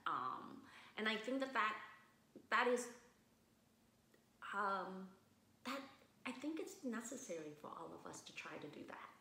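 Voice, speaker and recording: A woman from Vietnam, a guest in a casual interview, speaking thoughtfully with many restarts and pauses. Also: mid-range voice, expressive, gravelly, sharp tone. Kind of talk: casual conversation. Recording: roomy echo.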